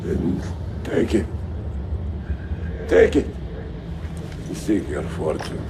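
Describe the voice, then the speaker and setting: A proud game villain speaks as if every phrase were a proclamation. His delivery is slow and deliberate, swinging widely in pitch from grand declaration to low menace. The voice is gravelly, with clear noise around it. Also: big pitch swings, full character voice. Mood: defiant, dying. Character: defiant, dying Templar villain, a proud nobleman. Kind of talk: dramatic video game acting.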